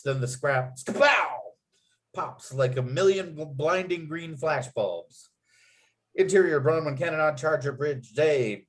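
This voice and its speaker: A man reading script stage directions aloud as narrator, with clipped, dramatic scene headings. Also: fairly high pitch. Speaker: narrator and writer.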